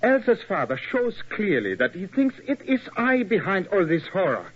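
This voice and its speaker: Brief: a desperate young suspect, high, earnest and pleading, husky, lo-fi old radio. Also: male voice, huge pitch swings, normal speed, exaggerated voice. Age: young adult. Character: anxious young German man under suspicion. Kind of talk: 1940s radio acting. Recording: lo-fi 1940s radio broadcast.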